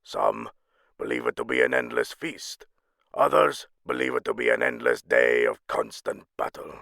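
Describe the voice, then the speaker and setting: A man's voice: very deep voice, huge pitch swings, normal speed, creaky, sharp tone, no room sound, studio quality, exaggerated voice. A man playing a solemn storyteller, giving a grave, dramatic scripted narration of myths.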